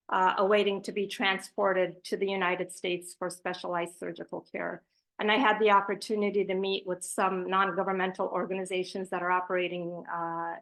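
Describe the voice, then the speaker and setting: A woman's voice: mid-range voice, a bit bright, low-quality recording. A woman speaking as a charity representative on a panel, giving a prepared presentation with asides in an earnest, emphatic way.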